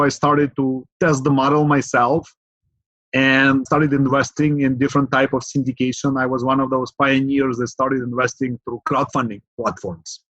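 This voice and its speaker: A middle-aged man from Ukraine, speaking English as an in a steady, earnest manner. He works through his points at a measured pace, dropping fillers and asking "right?". The sound is dry and a bit dull, like a remote call. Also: medium pitch, no room sound. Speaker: guest, real estate investor. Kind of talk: podcast interview.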